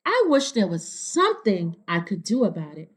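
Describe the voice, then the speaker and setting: A woman's voice: medium pitch, huge pitch swings, normal speed. A woman reading the part of an earnest, anxious young schoolgirl in a children's storybook. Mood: earnest, nervous.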